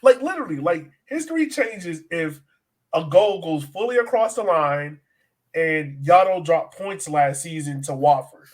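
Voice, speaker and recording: A man's voice: on the high side, animated, unhurried. A man hosting a casual sports podcast, informal and conversational as he puts long questions to his guest. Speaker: podcast host.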